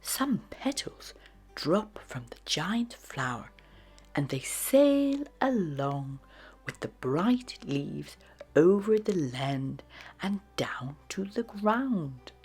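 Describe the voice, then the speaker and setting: A woman narrating a children's storybook in a dramatic, expressive read-aloud style. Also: lowish voice, drawn-out words, breathy edge, soft background music. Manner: dramatic, with hushed phrases and sudden exclamations.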